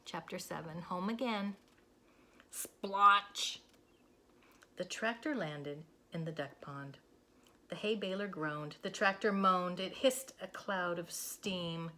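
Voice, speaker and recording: Female children's storyteller: bright voice, unhurried, dramatic, expressive read-aloud. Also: on the low side. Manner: dramatic, deliberate phrasing.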